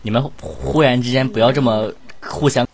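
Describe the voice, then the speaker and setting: A man with a medium-pitched voice acts as host of a casual group chat. He passes questions along in an informal, conversational way. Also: normal speed, vocal fry, husky. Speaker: host relaying audience questions.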